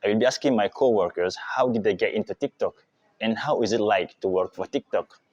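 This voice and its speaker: A man hosting a casual interview with coworkers at an office, asking questions in a brisk way. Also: on the low side, a little mellow, no room sound, muffled recording. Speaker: interviewer, vlog host.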